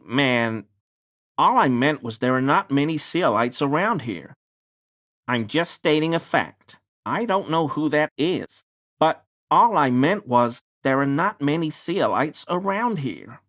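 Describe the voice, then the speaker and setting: A man's voice: on the high side, a bit bright, heavily acted. Swooping, nervy, fawning deliveryman. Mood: suspicious, defensive. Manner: obsequious, stammering, evasive. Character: nervous, ingratiating male pie deliveryman with bigoted suspicions. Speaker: video game voice actor. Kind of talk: scripted game dialogue.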